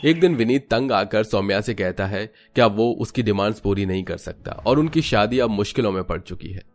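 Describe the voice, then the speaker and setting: A man's voice: lowish voice, lively intonation, fairly quick. A man giving scripted, melodramatic narration for a cartoon family story.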